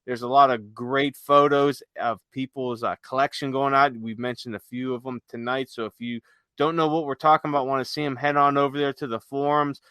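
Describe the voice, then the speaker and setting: A man's voice: mid-range voice, fairly even intonation, a little fast, fairly bright tone, clean tone, dry recording. A man hosting a podcast in a casual, unscripted, rambling conversational way. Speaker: podcast host.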